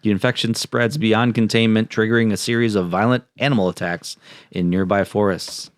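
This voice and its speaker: Low-pitched man, casual podcast host. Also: fairly even intonation, fairly quick, no echo, clean studio sound. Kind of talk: casual chat mixed with reading notes.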